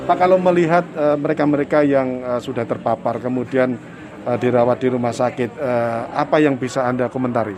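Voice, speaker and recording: A man working as a field reporter, giving a formal on-location news report and interviewing people outdoors at a village hall, with measured speech and some hesitant fillers. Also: medium pitch, crowd noise.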